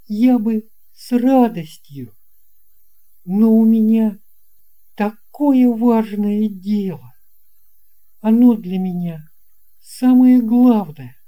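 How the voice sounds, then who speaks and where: An earnest young boy from a storybook adventure, acted out by a man. The voice sits well up in pitch and is pushed a little louder, with a polite, emphatic way of putting things. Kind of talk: audio storybook character voice.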